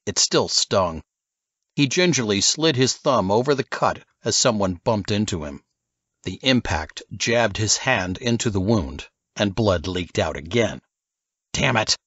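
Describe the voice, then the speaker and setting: Low, expressive male audiobook narrator. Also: measured pace, slightly rough, no echo, lo-fi sound. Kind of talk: scripted fiction narration.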